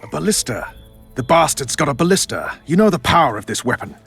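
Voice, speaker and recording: A man's voice: on the high side, sing-song, fairly quick, creaky, a bit bright, husky, soft background music, exaggerated voice. A man voicing a proud, roguish military officer, commanding and sardonic, in a video game. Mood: sly, urgent.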